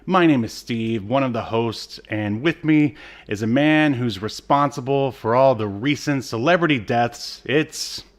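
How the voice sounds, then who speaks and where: A man's voice: mid-range voice, a little slow. A man co-hosting a podcast in casual comedic banter, joking in a mock-serious way. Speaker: podcast co-host.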